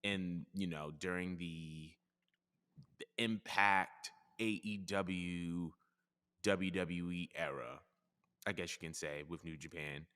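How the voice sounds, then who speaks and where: A man with a low voice and a bright, forceful tone speaks in a measured way, weighing each point as he goes. A long reverb hangs around his words. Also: fairly even intonation, clean tone. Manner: measured, thinks aloud, hedges. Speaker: podcast host. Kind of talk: casual chat.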